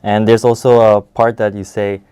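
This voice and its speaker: A man explaining things informally as a guest educator, in halting, phrasing. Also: fairly low pitch, little pitch movement, warm tone, clean tone. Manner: halting, non-native phrasing.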